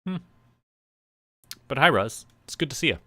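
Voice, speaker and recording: A man's voice: medium pitch, big pitch swings, moderate pace, sharp tone, dry recording. A man chatting casually on a livestream, rambling to himself as he goes about small tasks. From Canada. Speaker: streamer.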